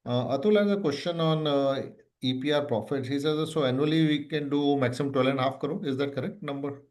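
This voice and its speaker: Male earnings-call moderator, Indian accent, mid-range voice, halting delivery while putting questions to management. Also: mid-range voice, darkish tone. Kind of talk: business question-and-answer call.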